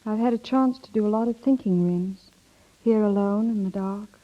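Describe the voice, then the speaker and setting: An actress playing an earnest, anxious young wife, emotional and halting, in a 1930s radio drama. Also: female voice, mid-range voice, close to an everyday voice. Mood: resolute, sad. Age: young adult. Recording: dull vintage 1930s radio recording.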